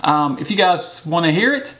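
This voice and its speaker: A man's voice: a little high, expressive, normal speed, fairly bright tone, a little hiss or hum. A man hosting a casual show-and-tell, talking informally to viewers. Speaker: host showing memorabilia. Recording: echoey room.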